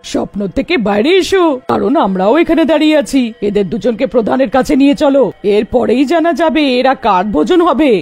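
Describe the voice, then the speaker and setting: A woman voicing a man-eating forest witch in an animated horror fairy tale. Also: high-pitched, fairly quick, gravelly, soft background music, heavily acted. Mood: gloating, menacing.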